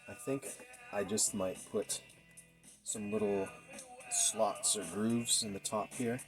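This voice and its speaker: A man's voice: on the low side, steady tone, takes it slowly, over music. A middle-aged man narrating casually as he works, thinking aloud in a tentative way in a home workshop. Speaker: DIY maker demonstrating a build. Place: small indoor home workshop. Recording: clearly noisy workshop recording with handling clicks.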